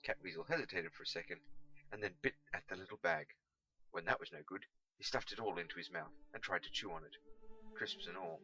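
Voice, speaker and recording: Expressive man narrating, bright and creaky. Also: mid-range voice, fairly quick, dull audio. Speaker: amateur audiobook reader. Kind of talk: scripted storybook narration.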